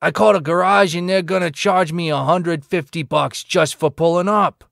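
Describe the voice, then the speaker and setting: Man doing a high, gravelly pushy motorist. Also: steady tone, moderate pace, a bit bright, dry recording, clean studio sound. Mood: wheedling. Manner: brash, wheedling. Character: pushy, chatty male city motorist, brash and cheeky. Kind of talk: comic dub character acting.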